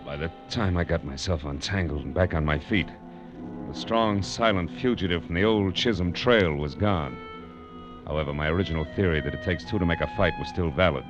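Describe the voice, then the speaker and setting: Casting: man playing low-voiced, tough, wry private eye for vintage radio drama, dull sound with music underneath. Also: fairly quick, natural voice. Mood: wry, hard-boiled. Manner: hard-boiled, wry, clipped. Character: tough, wry male private detective narrating his case. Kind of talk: 1940s radio detective drama acting. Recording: dull old radio recording, music behind.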